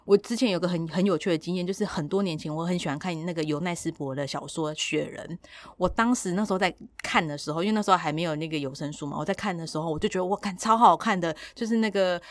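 A woman with a Taiwanese Mandarin accent chatting casually as a podcast host, telling stories in an animated, expressive way with repetition for emphasis. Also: fairly low pitch, quick pace, no echo. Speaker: podcast host who works in audiobook production. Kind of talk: casual chat, storytelling.

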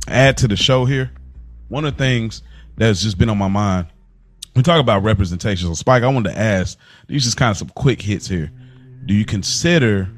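A man with a low-pitched voice chats as a podcast co-host. His delivery is informal and meandering, circling toward each point. Music is clearly heard underneath. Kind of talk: casual podcast conversation.

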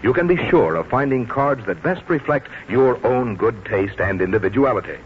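Old time radio announcer guy, lowish lively voice, polished ad read, muffled recording. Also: normal speed. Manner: polished, promotional. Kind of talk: 1940s scripted radio commercial narration. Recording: dull, noisy vintage radio broadcast.

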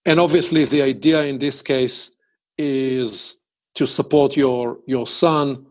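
A man hosting an interview, putting questions in a deliberate, conversational way, phrase by phrase. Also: medium pitch, fairly even intonation, darkish tone. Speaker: interviewer, host.

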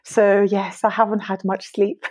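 A tired woman in her forties from Greece, talking through her work as an education researcher in a relaxed, thoughtful way. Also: mid-range voice, measured pace. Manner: thoughtful, many fillers and restarts. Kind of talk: conversational interview.

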